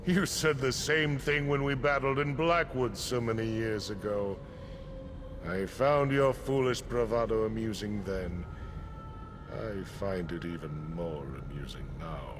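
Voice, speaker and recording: A man acts out a villainous high priest for a fantasy game. He is pompous and menacing, drawing out his taunts at a slow, deliberate pace over a clearly audible rumble of background noise. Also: close to an everyday voice. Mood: gloating menace.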